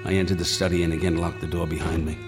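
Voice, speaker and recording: A male actor as a grave older doctor in a radio drama, his voice very low. He speaks formally and deliberately, with solemn resolve, over prominent music. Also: level tone, close to an everyday voice. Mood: solemn, measured. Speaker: actor, also narrating.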